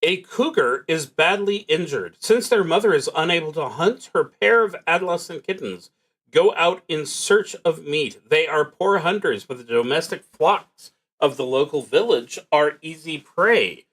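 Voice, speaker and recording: A man playing a solo tabletop roleplaying game on a stream, reading prompts aloud and thinking out loud in a halting, musing way. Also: fairly high pitch, animated, poor audio.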